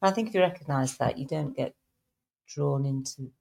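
A man's voice: medium pitch, moderate pace, gravelly, darkish tone. A man in a casual faith chat, agreeing often with short affirmations.

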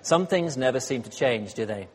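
Guy giving a sermon, bit hoarse, lots of ums, church hall reverb. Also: mid-range voice, expressive, normal speed, darkish tone. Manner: chatty, self-deprecating, many ums and uhs. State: slightly hoarse. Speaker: guest speaker. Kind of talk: sermon with light humor.

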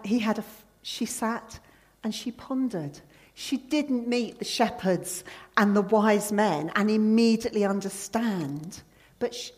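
A woman's voice: medium pitch, a little mellow. A woman preacher giving an earnest sermon, quoting scripture and hymn verses with emphasis.

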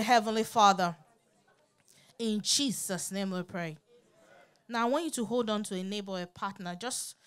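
High-pitched woman preacher praying fervently. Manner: repetitive, urgent, insistent phrasing. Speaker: preacher leading congregational prayer. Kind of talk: fervent church prayer and exhortation.

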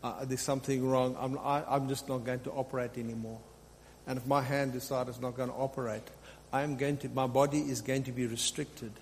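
Reverberant hall, faint background hum, male pastor, exhorting sermon delivery, unscripted, repeated phrases, medium-pitched voice. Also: level tone, brisk, darkish tone. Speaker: pastor preaching to a congregation. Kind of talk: sermon, extemporaneous teaching. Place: large reverberant room, live preaching.

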